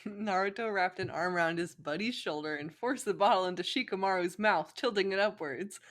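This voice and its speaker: A woman's voice: medium pitch, normal speed, a bit bright. A young woman reading fan fiction aloud as informal narration, with a playful, giggly manner. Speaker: co-host, fan fiction reader. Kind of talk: informal story narration with banter.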